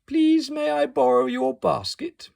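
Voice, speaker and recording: A man's voice: very high voice, sing-song, a little slow, gravelly, darkish tone, pitched above the performer's own voice, exaggerated voice. A man voicing a polite, hopeful, put-upon wolf from a picture book, pleading nicely.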